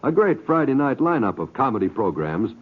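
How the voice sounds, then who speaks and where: A man's voice: medium pitch, big pitch swings, brisk, slightly rough. An American man reading scripted introductions as a 1950s network radio announcer. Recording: tinny vintage radio broadcast recording.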